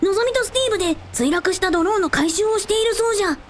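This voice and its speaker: A woman's voice: very high-pitched, rapid-fire, a little hiss or hum. A woman playing a young, earnest dragon prince in a radio drama, speaking in archaic lordly phrases with childlike openness. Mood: matter-of-fact. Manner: old-fashioned lordly speech with childlike openness. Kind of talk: Japanese radio drama acting.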